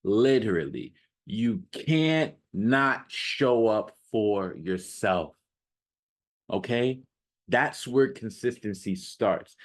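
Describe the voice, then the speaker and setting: A man speaking as a self-improvement coach in a casual motivational monologue, emphatic and repetitive, addressing the listener directly with rhetorical questions. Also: medium pitch.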